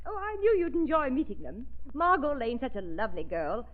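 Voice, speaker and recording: Scatterbrained society hostess type, fluttery and very animated, 1930s radio play, dull vintage sound. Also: female voice, sits high, fairly quick. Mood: chatty. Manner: effusive, fluttery, giggly. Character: gushing, scatterbrained society woman, excitable and flighty. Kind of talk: 1930s radio mystery acting. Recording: muffled 1930s radio recording.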